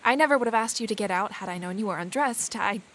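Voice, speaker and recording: An actress playing a modest, warm-hearted young lady who speaks in a proper, hesitant way, in a period audio drama. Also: medium pitch, quick pace, natural voice. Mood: flustered.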